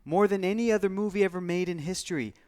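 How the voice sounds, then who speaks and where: A man's voice: high-pitched, quick pace, darkish tone. A man on a review panel chatting casually and emphatically, firing rhetorical questions and repeating himself. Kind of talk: casual chat.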